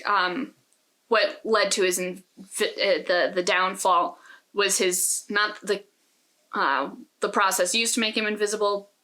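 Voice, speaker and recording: A woman's voice: medium pitch, pushed and bright. A woman co-hosting a podcast, casually talking through a book in a thoughtful, opinionated way. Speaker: podcast co-host.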